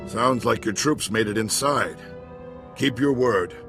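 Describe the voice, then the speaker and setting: A gruff old marshal, played by a man in a sci-fi game. He speaks low and plainly, with weary honor, while music plays clearly behind him. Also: unhurried, lightly acted. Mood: weary, conceding. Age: older. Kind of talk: dramatic video game voice acting.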